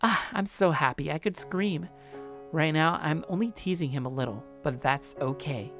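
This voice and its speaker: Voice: mid-range voice, normal speed, music in the background, natural voice. A performer voicing a clingy, possessive young woman from a visual novel in a sweet, coaxing manner. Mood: obsessive, gleeful.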